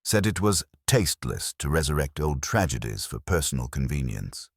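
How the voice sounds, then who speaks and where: Man acting a worried husband, very low voice. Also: big pitch swings, moderate pace, breathy edge, no echo, studio quality, heavily acted. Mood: uneasy. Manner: tight, concerned. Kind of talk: scripted mystery story dialogue.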